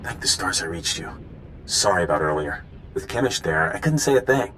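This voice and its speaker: A man playing an earnest, eager undercover informant in a video game, hurried and slightly awkward, with rumbling noise behind him. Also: lively intonation, a bit bright, rough recording. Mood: relieved, eager. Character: earnest, eager male rebel informant, secret agent.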